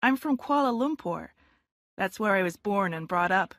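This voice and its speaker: A woman's voice: mid-range voice, expressive, normal speed, no echo, natural voice. A woman playing a friendly young student. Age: 20s. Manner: chatty and polite, clear careful spelling. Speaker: club applicant in a listening test recording. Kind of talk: scripted exam dialogue. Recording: dry studio sound.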